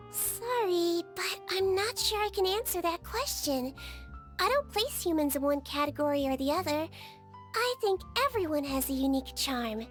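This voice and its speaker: A tiny, sweet melusine nurse from a video game speaks in an extremely high, childlike voice. She is earnest and polite, soothing and caring in how she talks. Soft music plays behind her. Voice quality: childlike, girlish. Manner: gentle, earnest, polite, reassuring. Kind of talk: video game character voice lines. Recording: studio voice over game music.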